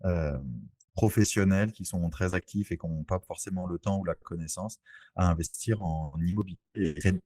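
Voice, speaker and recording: A man's voice: sits low, fairly even intonation, normal speed, dark tone, dry recording. A man talks informally in an interview, explaining things in a conversational way. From French Antilles, Saint-Barthélemy. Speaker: podcast guest, real estate investor.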